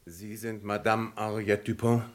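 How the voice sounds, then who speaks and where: A man acting as a police commissioner in an old radio crime play. His voice sits fairly low, and he speaks in a measured, formal way. He is courteous and methodical, yet firm. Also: flat delivery, over loud music, close to an everyday voice. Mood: politely firm. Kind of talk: German radio crime drama acting, mid twentieth century.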